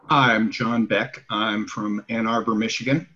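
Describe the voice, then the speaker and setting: A man's voice: fairly low pitch, steady tone, unhurried, bright, forceful tone. A man speaking casually and helpfully as a participant on a video call. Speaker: meeting participant. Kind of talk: casual introduction and helpful tip. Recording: dull video call audio.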